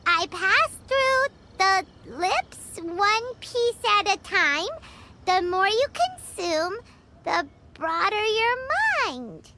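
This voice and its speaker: A woman voicing a tiny, childlike fairy companion in a video game, squeaky, excitable and cheeky, with exaggerated delivery. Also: extremely slow, a little hiss or hum, exaggerated voice. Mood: musing. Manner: exaggerated, refers to herself in third person. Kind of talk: video game cartoon voice acting.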